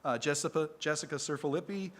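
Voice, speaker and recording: A man reading a prepared talk to a live audience as a speaker for a historic site, measured and steady. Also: on the high side.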